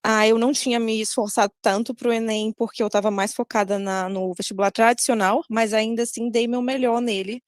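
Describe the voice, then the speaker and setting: A woman's voice: a little high, fairly even intonation, brisk, few pauses, no echo, clean studio sound. A young Brazilian woman from Maranhão with a Brazilian Portuguese accent, talking over a video call to students and giving advice, hesitant and full of fillers and self-corrections. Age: young adult. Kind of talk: casual talk on a video call.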